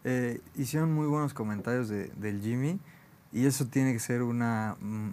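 Halting man on a sports panel. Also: mid-range voice, darkish tone. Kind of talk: casual panel discussion.

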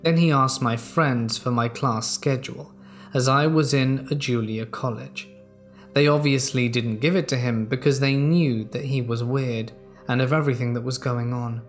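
Male narrator, mid-pitched, steady measured read of true horror stories, soft music underneath. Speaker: narrator reading submitted stories.

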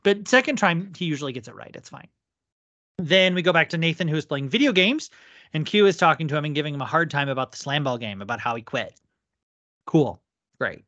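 A woman's voice: low voice, lively intonation, a little fast, a bit bright, no room sound, lo-fi sound. Woman recapping casually in a dry, close sound. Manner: agreeable, frequent short affirmations. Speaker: podcast co-host.

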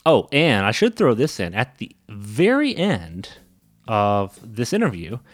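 A man's voice: on the low side, huge pitch swings, unhurried, no echo, clean studio sound. A man hosting a podcast in a casual, chatty, informal style. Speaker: podcast host. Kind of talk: casual podcast introduction and interviewing.

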